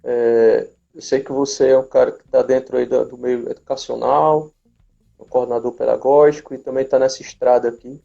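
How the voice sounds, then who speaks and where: A man's voice: mid-range voice, level tone, normal speed, vocal fry, mellow. A man, hosting a casual live chat in an informal, hesitant way on lo-fi audio. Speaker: host, business administrator. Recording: dull lo-fi live stream audio.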